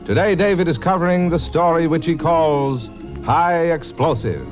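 A man's voice: fairly high pitch, normal speed. A man announcing an old-time radio drama with a scripted introduction. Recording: dull old radio recording with organ. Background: organ music.

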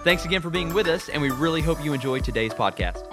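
A man reading a scripted podcast intro in a smooth, even way. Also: fairly high pitch, quick pace, over music. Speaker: announcer.

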